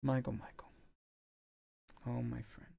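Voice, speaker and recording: Guy with a gravelly mellow voice reacting to a clip, blunt and fed up. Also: medium pitch, level tone, unhurried. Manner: exasperated, blunt commentary with laughs. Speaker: reaction video host.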